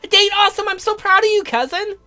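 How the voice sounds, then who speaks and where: A woman's voice: very high-pitched, fast, sharp tone, faint music behind. A woman playing eager, chatty young girl cousins in a horror visual novel. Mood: excited. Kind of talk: performed visual novel reading.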